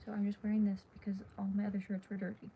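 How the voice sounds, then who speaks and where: Hushed, tired teen girl with a gravelly voice. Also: mid-range voice, completely flat delivery, very fast. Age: teens. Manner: hushed, half-whispered and rambling with many fillers. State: tired and losing her voice. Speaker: livestreamer. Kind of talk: casual late-night livestream chat. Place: dark bedroom at night. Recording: dull lo-fi phone audio with faint hiss.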